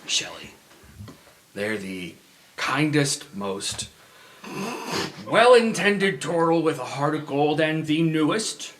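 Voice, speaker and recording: A man voicing a friendly young man who introduces a friend with halting, upbeat chatter. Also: fairly high pitch, expressive, pitched above the performer's own voice. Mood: warm, joking. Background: soft background music.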